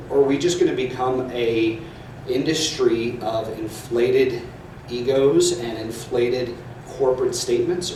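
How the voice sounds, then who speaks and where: An earnest man gives measured panel remarks, pausing on the odd filler. His voice sits at a middle pitch and rings around a large hall, picked up from well away from the mic, with a faint hiss underneath. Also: darkish tone. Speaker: panelist, public relations professor. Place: large echoey conference hall. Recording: echoey hall audio from far off.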